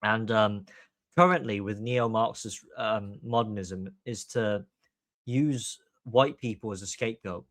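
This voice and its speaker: A man's voice: lowish voice, a little slow, fairly bright tone, no echo. A man from Hong Kong hosting a podcast, giving opinionated commentary in an emphatic, long-winded way. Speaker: podcast host.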